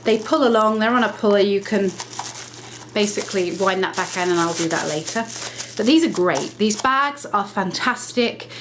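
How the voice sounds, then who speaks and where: Woman vlogger, mid-pitched, conversational, slightly nasal with a cold, faint background hiss. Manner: chatty, self-correcting, brisk explaining. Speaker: lifestyle vlogger. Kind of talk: casual talk to camera.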